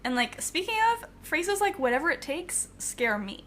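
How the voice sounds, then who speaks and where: Casting: actress as skeptical young female partner in crime, very animated intonation, hesitant and sardonic, audio drama, faint noise. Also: sits high, normal speed. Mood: wary, sarcastic. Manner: hesitant, sarcastic, questioning. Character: wary, principled young woman, reluctant villain partner.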